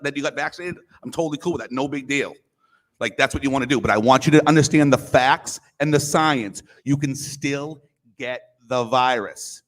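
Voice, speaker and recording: A man with a loud voice giving impassioned public comment at a school meeting, forceful and emphatic. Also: a little high. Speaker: parent speaking at public comment.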